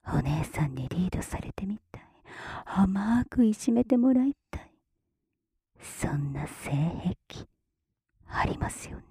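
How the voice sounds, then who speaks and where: A woman performing erotic ASMR roleplay in a breathy whisper, with a teasing, gently commanding big-sister manner, close on the mic. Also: medium pitch, no echo. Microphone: close mic, dry.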